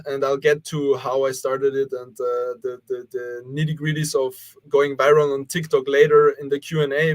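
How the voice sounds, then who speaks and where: A young man from Austria, a guest organizer on a live online panel, answering in a rambling way with many fillers. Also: a little high. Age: young adult. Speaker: guest, youth cleanup organizer. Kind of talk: casual livestream panel answer.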